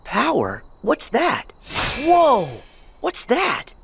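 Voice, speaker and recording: A man's voice: extremely high pitch, wildly swooping pitch, very slow, creaky, noisy background, exaggerated voice. An actor playing a naive, good-hearted teenage boy hero in a video game. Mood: confused. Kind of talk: animated video game dialogue. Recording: music playing under the voice.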